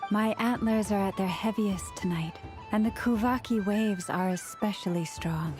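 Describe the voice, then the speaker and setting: A woman's voice: mid-range voice, normal speed, over music, natural voice. A woman voicing a serene antlered priestess. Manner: calm, warm, soft-spoken. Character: gentle antlered moon priestess, serene and kind. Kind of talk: video game voice acting.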